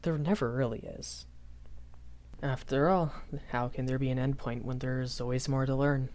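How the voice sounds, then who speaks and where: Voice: medium pitch, steady tone, moderate pace, darkish tone, natural voice. A performer voicing a shy, earnest young magic academy professor in a rambling audio roleplay, hesitant and often self-correcting. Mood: thoughtful. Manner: hesitant, self-correcting, apologetic, nervous chuckles. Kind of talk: improvised character audio roleplay, rambling lecture.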